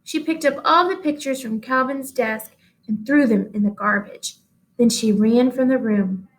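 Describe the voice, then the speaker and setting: A woman reading a children's storybook aloud in an expressive storytelling style. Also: fairly high pitch, unhurried, low-quality recording.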